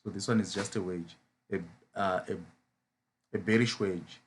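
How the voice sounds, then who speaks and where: A man's voice: sits low, steady tone, a little slow, husky. A man from Zimbabwe with a Zimbabwean English accent, a trading mentor who explains chart patterns in a live lesson and keeps asking rhetorical "as a what?" questions.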